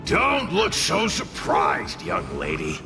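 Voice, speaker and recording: An actor playing a grumpy, sarcastic spirit in a video game, gravelly and theatrical, with haughty disdain. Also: male voice, low-pitched, wildly swooping pitch, slow pace, music in the background, full character voice. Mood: mocking.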